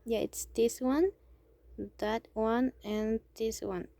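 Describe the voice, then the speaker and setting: A woman's voice: on the high side, steady tone, no room sound. A young woman from Mexico with a Mexican Spanish accent, English her second language, talking casually and hesitantly through a drawing tutorial, sometimes dropping to a near whisper. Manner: hesitant, halting, thinking aloud; soft-spoken, drops to near whisper. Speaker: amateur artist.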